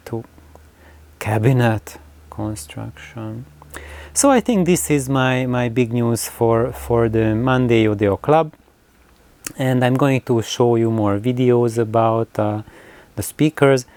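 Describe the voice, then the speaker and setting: Male hobby-channel host: unhurried, halting, casual delivery, smooth clean tone, mid pitch. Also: a little mellow, no echo. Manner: halting, pauses mid-sentence. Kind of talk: casual unscripted explanation to camera.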